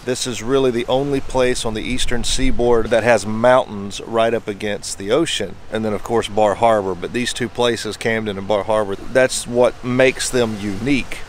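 A man's voice: lowish voice, steady tone, moderate pace, hardly any pauses, slight background noise. A young man talking to a handheld camera at arm's length outdoors, giving enthusiastic tour-guide chatter about the coast. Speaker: vlogger. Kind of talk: casual travel vlog narration. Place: outdoors on a wooded coastal trail.

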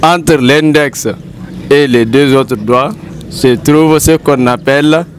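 A young man speaks slowly and deliberately as he recites and explains a rhyme. His voice sits at a middle pitch. He is outdoors, with traffic and motorbikes clearly audible behind him. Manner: slow, explanatory. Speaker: interviewee. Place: outdoors on a town street. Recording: outdoor street noise.

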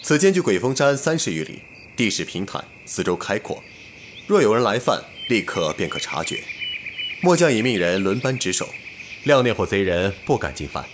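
Man acting low, emphatic army officer. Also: sing-song, normal speed, noisy background. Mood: dutiful. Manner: formal, clipped, respectful. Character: dutiful, deferential military officer reporting. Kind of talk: Chinese costume audio drama acting. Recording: night insects and birdsong behind.

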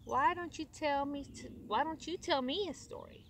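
A woman's voice: high-pitched, moderate pace, distant mic. Woman playing a weary, chiding father rooster. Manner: gently chiding. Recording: outdoors with background noise.

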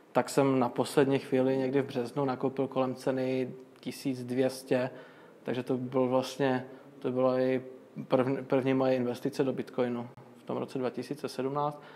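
A young man talks through his answers in an extremely flat, level tone and a middle pitch. He explains things step by step and keeps pausing on fillers and restarting his sentences. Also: a little hiss or hum. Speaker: guest, crypto magazine owner. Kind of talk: casual interview answers.

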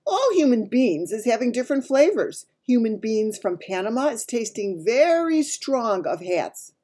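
A woman's voice: a little high, big pitch swings, unhurried, mellow, pitched above the performer's own voice. A woman voicing a big male giant from a children's storybook, blustery and emphatic, with muddled grammar and invented words. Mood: stern, blustery. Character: big friendly giant man, blustery, mangles his words.

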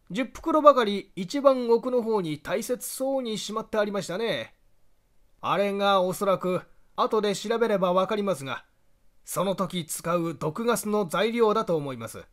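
Man acting out a high-pitched, animated investigator. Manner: emphatic, expository, polite address. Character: confident male investigator explaining a spy plot to listeners. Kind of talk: audiobook character dialogue.

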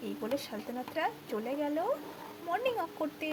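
A woman's voice: high-pitched, very animated, normal speed, noisy background. A woman telling a children's puppet story as host and narrator, with music over her voice.

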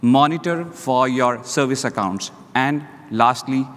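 A man's voice: mid-range voice, unhurried, a bit bright. Man reading out points on stage. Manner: structured, list-like delivery. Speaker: panelist, security leader. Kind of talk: prepared conference talk. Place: large conference stage. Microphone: lapel mic. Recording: reverberant hall.

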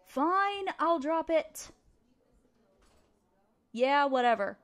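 High-voiced, guarded barista played by a woman. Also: lively intonation, full character voice. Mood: curt, jealous. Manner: halting, defensive, emotional. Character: guarded, jealous, resigned female barista running a cafe. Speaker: amateur audio roleplay voice performer. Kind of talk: audio roleplay acting, one side of a dialogue.

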